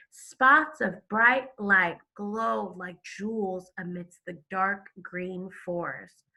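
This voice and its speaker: Woman, bright clear-cut tone, expressive elementary teacher, slower read-aloud pace, explaining as she goes. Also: mid-range voice, poor audio. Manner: expressive, explains to listeners with questions and gasps.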